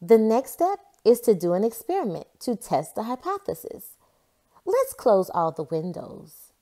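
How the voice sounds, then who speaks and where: A woman voicing an eager, confident young girl scientist in a storybook, in an expressive, instructive manner. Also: on the high side, a little slow, pitched above the performer's own voice. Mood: explaining, confident.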